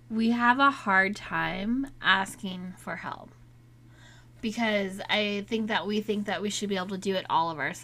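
A woman in a casual solo talk, still getting over a cold, so her voice is a little raspy at times; she speaks in a chatty, candid way. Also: mid-range voice, bright, forceful tone. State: recovering from a cold, slightly raspy. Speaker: podcast host.

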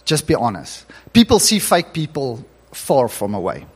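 A man's voice: medium pitch, wildly swooping pitch, moderate pace, breathy edge. A male pastor whose first language is Afrikaans, telling a story in an earnest sermon that builds to emphatic preaching.

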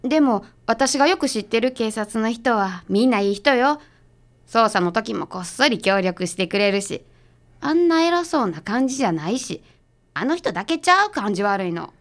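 Detective's assistant female actor: Kansai dialect, spirited and casual, high voice, radio drama. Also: expressive, moderate pace. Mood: annoyed. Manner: blunt, casual.

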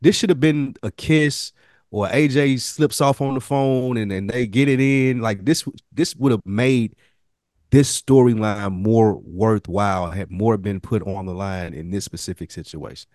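Low, dry-sounding American man, blunt. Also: a little slow, darkish tone, dry recording. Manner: blunt, colloquial, profane. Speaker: podcast panelist. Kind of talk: casual TV show discussion.

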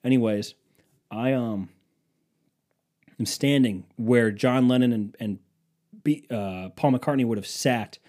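A man's voice: medium pitch, slow pace, long pauses, darkish tone. A man in his twenties telling a long, wandering story to his phone camera in a museum, profane and self-mocking. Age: 20s. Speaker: vlogger. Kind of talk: rambling casual storytelling. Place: museum exhibit, indoors.

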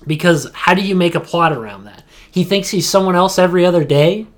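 A man's voice: high voice, brisk. Opinionated young-sounding slangy man podcasting. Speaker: podcast co-host. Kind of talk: casual pop-culture chat.

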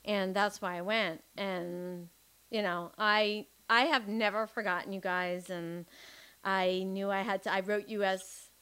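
Woman, podcast host, effusive, rambling, restarts often, mid-pitched voice. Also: steady tone, unhurried, sharp tone, clear, resonant tone, low-quality recording. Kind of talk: casual interview chat.

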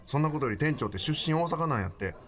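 A man's voice: on the high side, animated, fast, natural voice. Actor as a wry Kansai-accented man. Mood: nudging. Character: wry male customer, the regular's companion. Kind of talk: radio drama acting.

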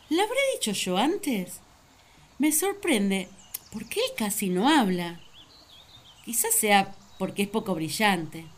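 A woman playing Eve, an earnest, talkative young first woman, telling her story in a radio play. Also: mid-range voice. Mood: reflective. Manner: earnest, wistful, storytelling to the listener.

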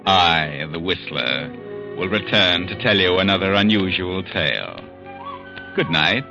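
An actor playing a mysterious, portentous teller of strange tales in a 1940s radio mystery. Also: male voice, low-pitched, animated, a little slow. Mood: ominous. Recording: muffled 1940s radio recording with music.

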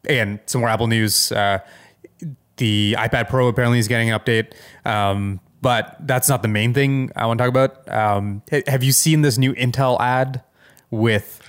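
Mid-pitched man in halting casual chat. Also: fairly even intonation, smooth. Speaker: podcast co-host.

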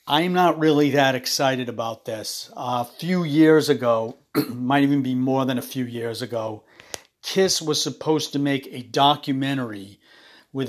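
A man hosting his own podcast while ill, his voice raspy, talking haltingly with many ums and uhs into a phone. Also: mid-range voice. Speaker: podcast host. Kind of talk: casual solo podcast monologue.

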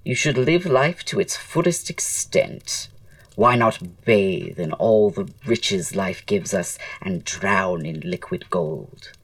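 An actor playing a witty, flamboyant Victorian writer and aesthete in an audio drama, speaking in a florid, languid, theatrical way. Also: male voice, fairly low pitch, big pitch swings, slow, gravelly, distant mic, full character voice. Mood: amused, languid.

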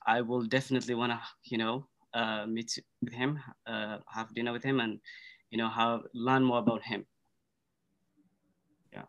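A man's voice: medium pitch, level tone, a bit bright, muffled recording. Earnest man, Somali native speaker. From Kenya. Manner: earnest, thoughtful, measured. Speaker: podcast guest. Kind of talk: casual conversation.

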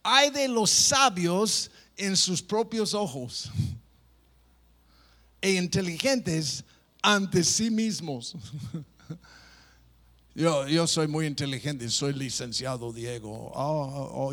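A man's voice: medium pitch, expressive, slow pace, fairly bright tone. A middle-aged man preaching a sermon, playful, mimicking people, with stop-start phrasing. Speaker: pastor.

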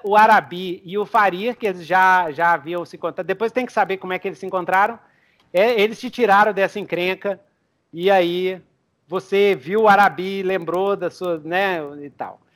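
A man's voice: high voice, fairly bright tone. A young man, running a tabletop game as game master and improvising the story as he goes, often with a chuckle.

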